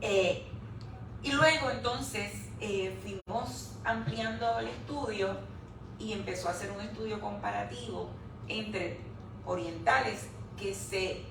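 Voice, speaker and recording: A middle-aged woman, standing to present her research chapter to an audience at a book presentation, explaining it step by step. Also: medium pitch. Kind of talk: academic talk at a book presentation. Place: room at a live presentation event. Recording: echoey room, distant mic, background noise.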